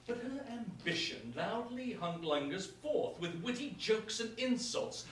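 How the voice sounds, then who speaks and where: A man's voice: sits high, very animated, a little slow. A man playing a scheming, judgmental king and father in a staged verse comedy, with stately, declamatory delivery. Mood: disdainful. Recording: echoey room, far mic, faint noise.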